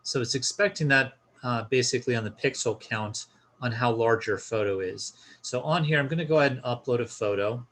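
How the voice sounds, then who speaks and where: A man's voice: medium pitch, fairly quick, fairly bright tone. A man presenting an informal step-by-step tutorial, explaining a screen share in a casual instructional style.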